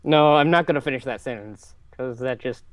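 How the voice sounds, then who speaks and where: A man's voice: medium pitch, a little fast, no room sound. A man chatting casually as a player in a tabletop game. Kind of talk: casual chat.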